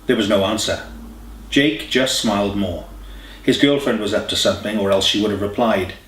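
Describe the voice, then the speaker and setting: A man's voice: on the low side, moderate pace, fairly bright tone. A man reading a scripted story as an audiobook narrator. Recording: echoey room, distant mic, faint hiss.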